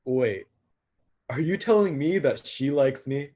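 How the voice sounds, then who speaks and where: Young destruction god male actor: self-conscious, downcast, husky mid-range voice, stage drama. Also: mid-range voice, lively intonation, a little slow, dark tone. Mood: flustered. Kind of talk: live staged play reading.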